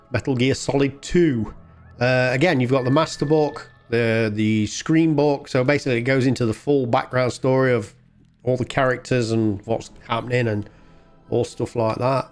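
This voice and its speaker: A man hosts a gaming video with casual, chatty commentary. His voice sits at a medium pitch, and he talks in a colloquial, self-deprecating way as he plays, with faint music behind him. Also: moderate pace, darkish tone. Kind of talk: casual game commentary while playing. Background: video game music.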